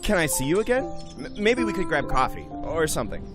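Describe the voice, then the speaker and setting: A man's voice: a little high, wildly swooping pitch, fairly quick. A man in his twenties playing a charming, eager young returned serviceman in an amateur audio drama. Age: 20s. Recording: background music under dialogue.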